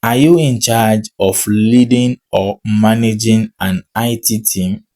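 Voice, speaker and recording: A man's voice: on the low side, fairly even intonation, takes it slowly, dark tone. A man whose first language is not English, walking viewers through a screen-share tutorial as an online money coach, reading on-screen text aloud and often checking in with "okay?".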